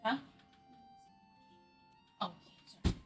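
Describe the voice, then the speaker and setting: A woman's voice: drawn-out words, faint music behind. A woman streamer giving casual livestream commentary, rambling and speculating, often trailing off mid-thought.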